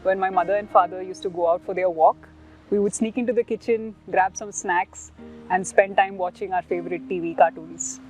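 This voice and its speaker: A woman's voice: fairly low pitch, steady tone, a little fast, low-quality recording. A woman casually sharing a personal recollection. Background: soft music.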